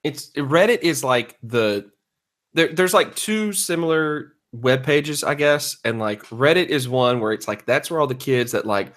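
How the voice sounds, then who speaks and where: A man's voice: mid-range voice, expressive, unhurried, fairly bright tone. Male podcast co-host, mid-range voice, sarcastic and teasing, trading casual jokes with a mock-grave edge. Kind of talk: casual comedic banter.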